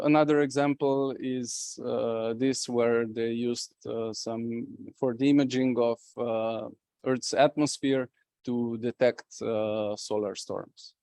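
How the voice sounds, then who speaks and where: A man's voice: medium pitch, warm tone, smooth, no room sound, clean studio sound. A man from Slovenia giving a conference presentation as a company representative, talking with many fillers and restarts.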